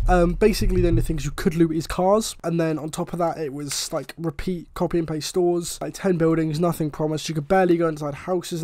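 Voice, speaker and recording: A man's voice: fairly high pitch, darkish tone. A young man with a cold and a slightly chesty voice, ranting and swearing with exasperation over gameplay footage. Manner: exasperated, swears often, rambling. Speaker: gaming YouTuber.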